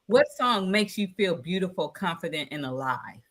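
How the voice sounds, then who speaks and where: A woman's voice: lowish voice, animated, normal speed, background noise. A woman from Chicago co-hosting a talk show, enthusiastic and slangy in casual chat. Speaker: co-host.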